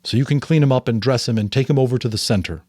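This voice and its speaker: A man's voice: mid-range voice, rapid-fire, a little mellow, dry recording, clean studio sound, natural voice. A man voicing a cheerful, doting father in a storybook, with a singsong manner. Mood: brisk, cheerful. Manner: singsong baby talk.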